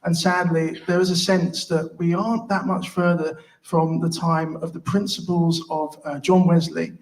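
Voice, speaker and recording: A man giving an earnest, halting hustings speech as an independent candidate, often repeating words. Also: a little high, a little mellow, poor audio. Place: live public hustings event.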